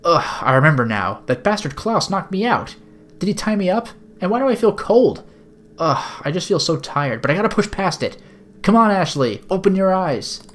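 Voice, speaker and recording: Captive young heroine, read by a man: drowsy, sarcastic, exasperated, highly animated game dialogue. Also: normal speed. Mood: weary, alarmed. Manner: wry, exasperated internal monologue.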